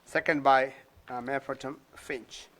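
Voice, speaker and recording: A man chairing a town council meeting, calling motions and votes in a measured, procedural way. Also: mid-range voice, fairly even intonation, a bit bright.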